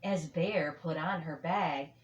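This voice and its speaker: Older woman, slightly low voice, slow expressive children's storytelling, echoey room. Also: lo-fi sound. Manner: expressive, emphatic phrasing for children. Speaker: storybook reader. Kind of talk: children's story read-aloud.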